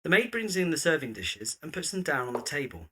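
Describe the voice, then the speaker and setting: Male narrator, crisp forceful tone, scripted scene descriptions, faint background noise. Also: on the high side, sing-song, a little fast. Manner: descriptive, present-tense stage directions. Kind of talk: scripted audio drama narration.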